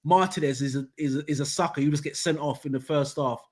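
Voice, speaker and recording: Man, husky voice, rambling football talk, animated. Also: on the high side, quick pace. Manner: rambling, restarts sentences, animated. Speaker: football podcast host. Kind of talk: casual football debate.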